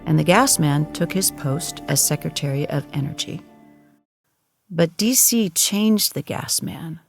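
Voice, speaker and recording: Narrator, medium pitch, scripted dramatic storytelling, solemn mock-epic tone, prominent music bed. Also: expressive, unhurried. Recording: music clearly behind the voice.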